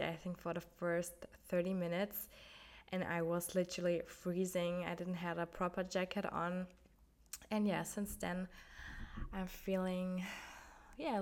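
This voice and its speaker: A woman hosting a solo wellness podcast while sick with the flu, so her voice sounds off; she talks calmly and gently, with soft, nearly whispered moments. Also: mid-range voice. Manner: calm, gently encouraging self-care advice. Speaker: podcast host.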